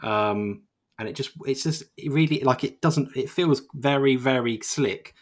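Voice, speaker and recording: A man chatting on a video call, halting and restarting his sentences. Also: medium pitch. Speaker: games journalist, panelist. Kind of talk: casual video call chat.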